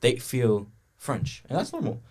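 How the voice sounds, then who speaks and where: A man's voice: medium pitch, very animated, a little slow, darkish tone. A young man from Angola in casual podcast conversation, explaining his view at length with frequent restarts. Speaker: podcast co-host.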